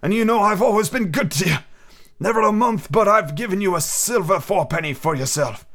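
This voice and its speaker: Man doing a gruff old drunken sea captain. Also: high voice, expressive, brisk, a bit bright, voice pushed up. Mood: pleading. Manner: gruff, rough sailor's talk, drawn out.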